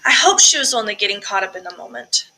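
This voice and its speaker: A woman's voice: mid-range voice, expressive, quick pace, bright, forceful tone. A woman reading a first-person story aloud with expression, as an audiobook narrator. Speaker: audiobook reader, choir director.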